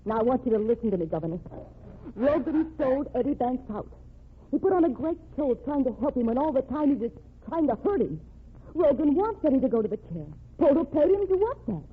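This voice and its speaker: Casting: desperate young sweetheart, high voice, urgent melodramatic pleading, radio crime drama, narrow tinny vintage sound. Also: female voice, big pitch swings, a little fast, slightly rough, full character voice. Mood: accusing. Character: desperate, impassioned young woman fighting for her condemned sweetheart. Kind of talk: old-time radio crime drama acting. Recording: tinny band-limited old radio recording.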